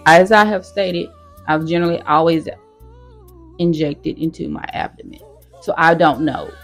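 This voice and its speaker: A nurse chatting casually with her viewers in a how-to vlog, talking straight to them as y'all, her voice hoarse. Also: deep voice, normal speed, soft background music. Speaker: nurse sharing her own experience.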